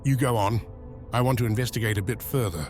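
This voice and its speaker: A careful, watchful scout in a motion comic, played by a man. His voice sits at an average pitch and he talks in a wary, measured way. Faint music runs underneath. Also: close to an everyday voice. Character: cautious, observant young male scout. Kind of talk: motion comic dramatic acting.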